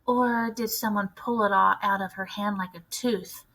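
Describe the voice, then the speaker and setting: A woman's voice: medium pitch, steady tone, moderate pace, fairly bright tone, rough recording. A woman narrating a children's novel expressively, as a teacher reading aloud.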